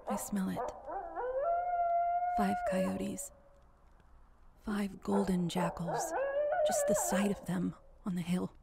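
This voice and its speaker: Gravelly woman narrating horror in halting phrases. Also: mid-range voice, very noisy. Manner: halting, fragmented short phrases, intense. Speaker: storyteller. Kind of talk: dramatic horror story narration, first person.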